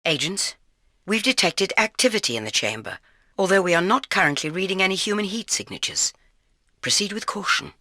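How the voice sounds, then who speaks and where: A stern, authoritative British spy chief in a video game. The delivery is formal and commanding, with a forceful edge and animated pitch movement. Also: female voice, brisk, no echo, clean studio sound. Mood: stern, composed. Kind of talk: video game mission briefing.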